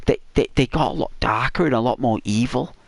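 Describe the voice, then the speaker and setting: Croaky-voiced man chatting about games. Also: mid-range voice, fairly quick, no echo. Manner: rambling, talks straight to viewers. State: croaky, hoarse voice. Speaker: gaming channel host. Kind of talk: casual gaming chat to viewers.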